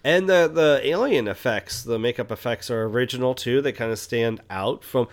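A man with a mid-pitched voice co-hosts a casual movie discussion. He gives his opinions with enthusiasm and lays out background detail in a conversational, explanatory way. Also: normal speed. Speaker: podcast co-host. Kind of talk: casual film review chat.

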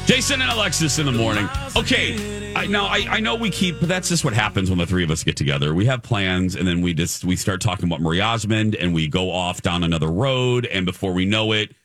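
A man co-hosting a morning radio show, chatting casually and playfully with animated delivery. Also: on the low side, brisk, few pauses, faint music behind.

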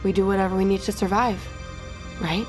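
Wronged, resolute woman played by an actress, medium pitch. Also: steady tone, brisk, music in the background, close to an everyday voice. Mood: cold, firm. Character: wronged, resolute young woman driving a hard bargain. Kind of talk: naturalistic audio drama acting.

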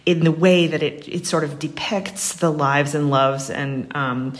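A woman's voice: deep voice, steady tone, measured pace. An American woman answering interview questions as a guest, chatty, reflective and wry. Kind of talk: casual interview conversation.

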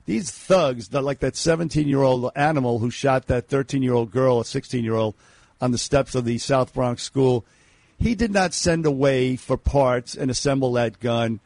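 A man with a raspy, gravelly voice holds forth on talk radio. He talks bluntly, rambling through fillers and restarts. The studio sound is dry and close. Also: mid-range voice, darkish tone, dry recording. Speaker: radio co-host. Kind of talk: opinionated talk radio chat.

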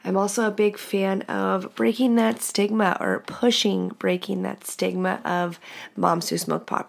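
A woman in her thirties talks alone on her podcast with a rough, gravelly rasp. Her voice is nasal and stuffed up from a cold. She swears freely and talks frankly, laughing at herself. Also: mid-range voice. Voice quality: raspy, nasal and congested from a cold. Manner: blunt, profane and candid, laughing at herself. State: congested with a cold. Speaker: podcast host. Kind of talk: casual solo podcast monologue.

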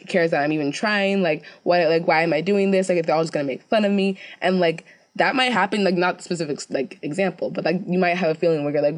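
A young American woman co-hosting a casual web video, chatty and full of "like"s as she tells stories. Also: lowish voice. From United States. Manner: chatty, filler-heavy storytelling with many "like"s. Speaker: co-host.

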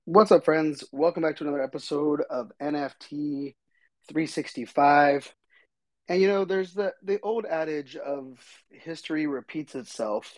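A middle-aged man with a slightly hoarse voice, hosting a podcast in casual, rambling talk with lots of fillers. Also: fairly high pitch, a little slow, no room sound. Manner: rambling, chatty, many fillers. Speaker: podcast host.

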